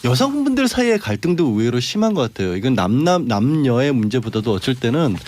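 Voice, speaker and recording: A man's voice: medium pitch, sing-song, brisk, a little mellow, a little hiss or hum. A male panelist on a radio talk show, casually reasoning through his opinion in long sentences.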